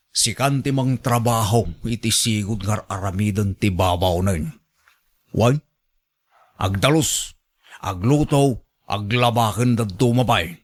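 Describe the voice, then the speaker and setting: A man's voice: on the low side, lightly acted. A man with an Ilocano accent playing a bossy, demanding elderly man in a radio drama, blunt and nagging.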